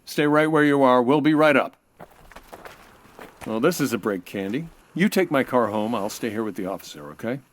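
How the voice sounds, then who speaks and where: A man playing a suave, flirtatious homicide inspector in a comedic 1940s-style radio drama, smooth-talking and gently teasing. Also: mid-range voice, lively intonation, normal speed, slight background noise, lightly acted. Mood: businesslike.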